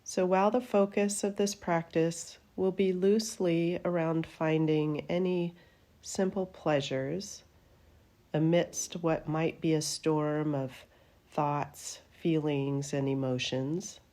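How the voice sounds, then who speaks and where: A woman teaching a guided meditation in a gentle, soothing manner, her phrases trailing off softly at the ends. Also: low voice, fairly even intonation, takes it slowly. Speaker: yoga nidra meditation teacher.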